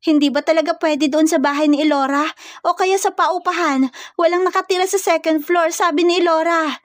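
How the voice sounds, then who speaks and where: A woman's voice: very high-pitched, steady tone, brisk, no echo, studio quality, voice pushed up. A woman voicing a frightened, feisty young provincial woman in a dramatized romance story, plaintive and exclaiming. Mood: worried, complaining.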